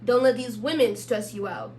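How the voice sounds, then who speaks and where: A woman's voice: on the high side, normal speed, a little mellow. A woman podcast co-host in casual, joking chat, a little sick with a tickle in her throat. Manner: laid-back, joking, slangy. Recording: distant mic, faint hum.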